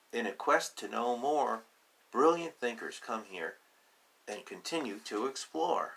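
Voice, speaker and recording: A man with a bright, forward voice reads a children's picture book aloud. His pitch swings widely as he lands each short phrase with care. Between lines he drops into playful, conversational asides. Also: a little hiss or hum. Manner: deliberate, emphatic, pausing between short phrases.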